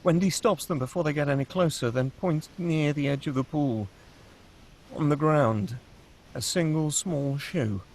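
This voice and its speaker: A man with a husky voice reading scripted screenplay action as the narrator of a table read. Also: fairly high pitch, a little slow, a little mellow, a little hiss or hum.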